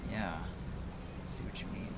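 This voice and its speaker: A man's voice: slow pace, very noisy. A man doing casual let's-play commentary, informal and sweary, reading game dialogue aloud as he plays. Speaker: game streamer.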